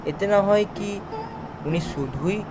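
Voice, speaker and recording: A man's voice: high voice, slow. A man voicing a kind, simple village snack seller and family man in a children's cartoon, earnest and reassuring, over music. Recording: music behind the voice.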